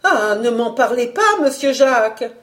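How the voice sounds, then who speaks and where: A woman reader voicing a talkative, excitable, good-hearted middle-aged cook in an audiobook, breathless and exclamatory. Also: fairly high pitch, moderate pace, voice pushed up. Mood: flustered.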